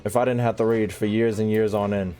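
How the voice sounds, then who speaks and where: A man's voice: lowish voice, little pitch movement, a little fast, dark tone, faint music behind. A man doing casual, slangy gameplay commentary as a streamer, exclaiming and reacting aloud. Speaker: gaming streamer.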